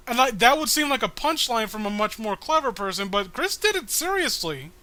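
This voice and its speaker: A man with a high, sharp-edged voice riffs casually as he co-hosts a read-along. He rants in exasperation, swearing and halting as he goes. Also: sing-song. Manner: exasperated ranting, profane, halting. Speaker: co-host of a comic read-along. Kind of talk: casual comedic riffing and commentary.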